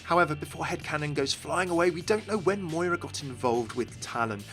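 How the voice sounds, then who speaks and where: A man's voice: a little high, brisk, a bit bright, faint music behind. A man hosting a scripted game lore explainer, addressing viewers directly and with enthusiasm. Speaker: video host, game lore commentator.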